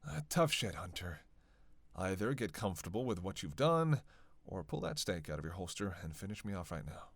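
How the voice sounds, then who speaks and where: Man playing a sardonic low-voiced vampire. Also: very animated, vocal fry, no room sound, heavily acted. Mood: defiant, sardonic. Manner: sardonic, playful, conversational with sighs and pauses. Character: teasing, witty, bloodthirsty male vampire, centuries-worn cynic. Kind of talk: flirtatious dark roleplay monologue to one listener.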